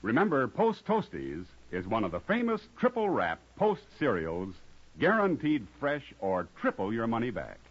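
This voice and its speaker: A man's voice: medium pitch, huge pitch swings, moderate pace, slight background noise. A man delivering a folksy, emphatic 1950s radio commercial as announcer. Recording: muffled old radio recording.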